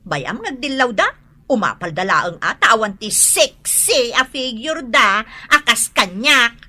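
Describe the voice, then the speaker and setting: An actress with an Ilocano accent playing a vain, testy, sharp-tongued wife in a comedy radio drama, exclaiming defensively. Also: female voice, a little high, very animated, a little slow, heavily acted.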